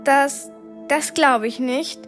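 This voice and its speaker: A woman's voice: high-pitched, fairly even intonation, takes it slowly, faint music behind. An actress playing a brave but anxious twelve-year-old girl in a radio drama, earnest and defiant. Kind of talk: German radio drama acting.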